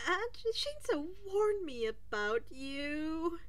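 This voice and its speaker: A woman voicing a nervous, self-doubting teenage boy in an audiobook, stuttering in a small, high voice. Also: big pitch swings, slow pace, exaggerated voice. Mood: flustered. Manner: stuttering, breathless hesitations.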